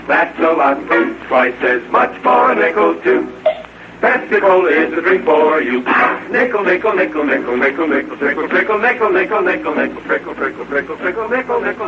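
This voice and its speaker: A man singing a bouncy vintage advertising jingle in 1940s radio style. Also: fairly high pitch. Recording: muffled distant old radio, loud music.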